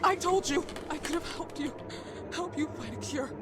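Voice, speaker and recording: A woman's voice: high voice, normal speed, very noisy, natural voice. An actress playing a desperate, imploring woman in a dramatic video game scene, pleading urgently. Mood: anxious pleading. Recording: dramatic music behind the voice.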